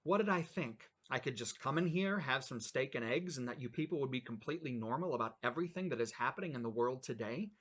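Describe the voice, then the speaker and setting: A man voicing a furious young man in a small-town cafe, ranting sarcastically and shouting his indignation. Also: medium pitch, lively intonation, fast talker, hardly any pauses, husky, lo-fi sound. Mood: indignant ranting.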